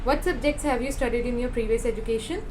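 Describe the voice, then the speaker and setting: A woman with an Indian accent, acting as an English speaking examiner and putting formal, measured questions in a mock interview. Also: on the high side. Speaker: IELTS speaking examiner and teacher. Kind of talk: mock exam interview, mixing Punjabi and English in the intro. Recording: noisy background ambience.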